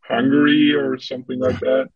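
A man's voice: medium pitch, flat delivery, normal speed, creaky, lo-fi sound, a crowd in the background. A man chatting bluntly and hesitantly on a video call. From Germany. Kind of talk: casual chat on a video call.

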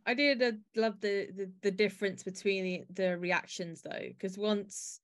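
A woman's voice: mid-range voice, moderate pace. A woman hosting a podcast, talking through a piece in a conversational discussion style. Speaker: podcast host.

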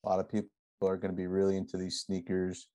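A man's voice: deep voice, monotone, quick pace, warm tone, no room sound. A man co-hosting a podcast in a casual, chatty style. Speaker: podcast co-host. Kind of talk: casual chat.

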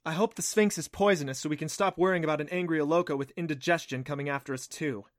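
A man voicing a bold, quick-witted young female adventurer with a brisk, challenging, certain manner in a fantasy story. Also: a little high, dry recording, studio quality, voice pushed up, lightly acted. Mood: wry.

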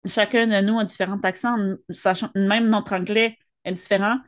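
Woman co-host, mid-pitched, French with a Spanish tinge, earnest, stumbles into sentences. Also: steady tone, moderate pace. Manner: earnest, repeats words when starting sentences. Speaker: podcast co-host. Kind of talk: casual conversation.

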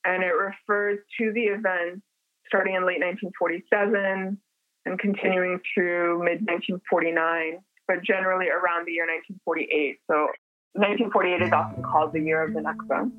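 A woman born in the United States explaining history in a measured lecture style, with occasional fillers. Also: on the low side, fairly even intonation, dry recording. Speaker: interviewed scholar. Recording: tinny phone-like line, faint music.

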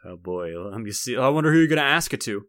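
A man's voice: medium pitch, expressive, quick pace, fairly bright tone, dry recording. Man bantering on a podcast, dry close sound. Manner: jokey, playful, rambling. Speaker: podcast co-host. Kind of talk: casual bantering podcast chat.

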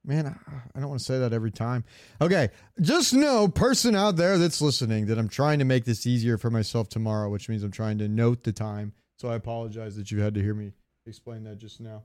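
A man's voice: mid-range voice, fairly quick, darkish tone. A man co-hosting a podcast in casual, informal chat, swearing freely. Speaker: podcast co-host.

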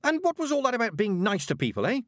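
A man's voice: huge pitch swings, measured pace, no echo, clean studio sound, exaggerated voice. A man voicing an upset, defiant young witch girl, wailing and snappish, in audiobook style. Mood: indignant. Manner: wailing, snappish, high-strung. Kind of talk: audiobook character acting.

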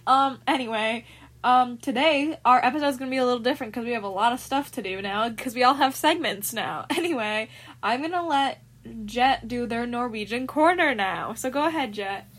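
A young woman with a somewhat high-pitched voice hosts a casual podcast. She rambles eagerly, correcting herself as she goes. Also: moderate pace, fairly bright tone. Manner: enthusiastic, rambling. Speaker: podcast host.

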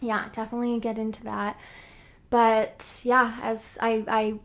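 A woman in her thirties with a slightly high voice, joking and teasing in a relaxed podcast chat. She is from Nova Scotia, laughs easily and talks into a big studio microphone. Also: measured pace. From Nova Scotia, Canada. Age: 30s. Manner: playful and teasing, laughs often. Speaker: podcast co-host. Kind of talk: casual chat. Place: studio room. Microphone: large studio microphone.

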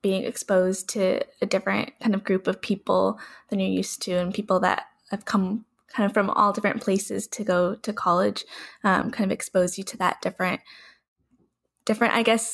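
A woman's voice: mid-range voice, vocal fry. A woman co-hosting a podcast, responding in a hedging, loose way. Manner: hedging, "kind of" phrasing. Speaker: podcast co-host. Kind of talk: conversational interviewing.

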